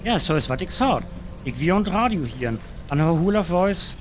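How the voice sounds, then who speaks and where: Rambling, flustered country eyewitness played by a man, highish voice. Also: very animated, moderate pace, over music. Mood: muddled, eager. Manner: rambling, muddled, naive. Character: flustered, simple rural male eyewitness interviewed on radio. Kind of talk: radio play interview.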